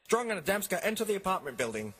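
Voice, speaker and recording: A man's voice: high-pitched, big pitch swings, fast. A man playing a pompous, melodramatic announcer narrating a detective serial in the style of 1950s radio drama. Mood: suspenseful. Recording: loud music behind the voice.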